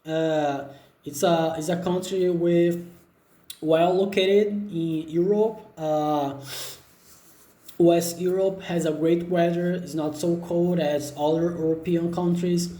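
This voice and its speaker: Man, 20s, Brazilian Portuguese accent, mellow, hesitant fillers, echoey laptop recording. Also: fairly high pitch, fairly even intonation. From northeast Brazil. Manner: unhurried, hesitant with frequent fillers and restarts. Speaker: job seeker. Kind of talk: self-introduction video.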